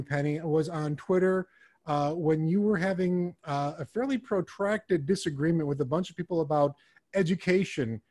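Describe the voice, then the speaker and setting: A man's voice: fairly high pitch, darkish tone. A man hosting an interview in a playful way, often stammering and repeating words. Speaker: host. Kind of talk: casual interview chat.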